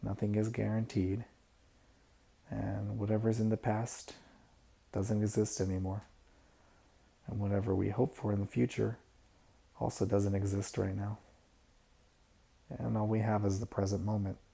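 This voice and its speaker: A man leading a guided imagery meditation, giving soft-spoken, unhurried instructions with pauses between phrases. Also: fairly low pitch, level tone, vocal fry, husky.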